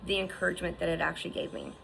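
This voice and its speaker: Gravelly fifty-something woman, low voice, earnest and encouraging, slight hiss behind. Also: lively intonation, rapid-fire, fairly bright tone, rough recording. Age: 50s. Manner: earnest, encouraging, repeats herself with restarts and "right?". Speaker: survivor sharing her story. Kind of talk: heartfelt personal testimony.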